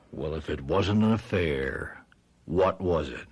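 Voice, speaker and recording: A deep, slow, skeptical sheriff. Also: male voice, animated, loud music behind the voice, exaggerated voice. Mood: accusing. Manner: measured, dry, hesitant pauses, sighing. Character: skeptical, stern, methodical male sheriff. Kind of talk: radio drama acting.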